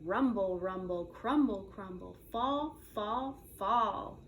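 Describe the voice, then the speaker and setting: A woman preschool teacher reading a picture book aloud in a playful, expressive way, drawing out the sound words. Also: mid-range voice, slight background noise. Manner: animated, playful, drawn-out sound words and repetitions.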